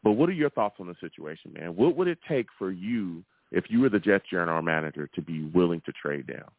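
Male sports talk host: lowish, swooping delivery, emphatic repetition, dry but slightly muffled stream audio. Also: lively intonation, fast talker, no room sound. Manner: emphatic, repeats phrases for effect. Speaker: host of a live sports call-in show. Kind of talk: animated sports talk with callers.

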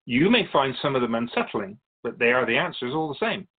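A man playing a calm otherworldly spirit guide in a radio drama, explaining things in a measured, quizzical way as an interview guest. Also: mid-range voice, breathy edge, narrow, tinny audio. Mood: candid, measured. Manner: measured, explanatory, quizzical about human speech.

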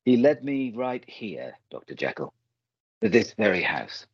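A man playing an earnest, righteous, protective gentleman in a radio play, speaking formally and theatrically. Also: fairly low pitch, very animated, measured pace, slightly rough, no echo, highs cut off, exaggerated voice. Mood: storytelling.

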